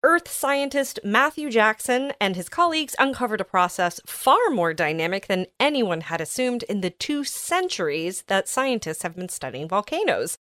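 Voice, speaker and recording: A woman's voice: medium pitch, very animated, moderate pace, few pauses, fairly bright tone, dry recording, studio quality. A woman co-hosting a podcast, reading science news aloud and explaining it with everyday examples. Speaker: podcast co-host.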